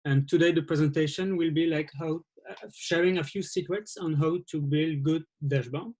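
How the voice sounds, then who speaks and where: A man's voice: a little high, a little mellow. French-accented man giving a webinar talk. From France. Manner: halting, with frequent restarts. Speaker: presenter. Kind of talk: webinar presentation. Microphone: video call.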